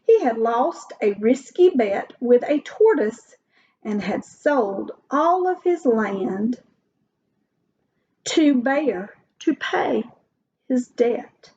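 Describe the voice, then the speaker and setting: Woman, higher-pitched voice, unhurried storybook read-aloud, expressive phrasing, dull lo-fi recording. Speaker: teacher reading aloud to students.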